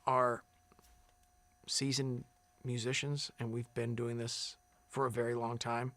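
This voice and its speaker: A man who sings as a contestant, answering interview questions in a relaxed, rambling way with many fillers and restarts. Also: mid-range voice, unhurried. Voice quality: big loud singing voice. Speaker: singing contestant.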